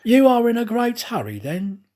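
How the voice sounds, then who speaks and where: A man's voice: very high-pitched, big pitch swings, moderate pace, mellow, voice pushed up. A man voicing an uneasy, evasive detective who hides his purpose, in an audiobook. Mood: probing. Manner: hesitant, evasive.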